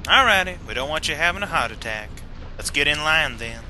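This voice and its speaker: A man's voice: fairly high pitch, animated, fairly quick, background noise, lightly acted. A man playing a brash, sardonic 1950s American husband in an amateur radio play. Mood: wry. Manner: sardonic, breezy. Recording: busy outdoor crowd and music behind.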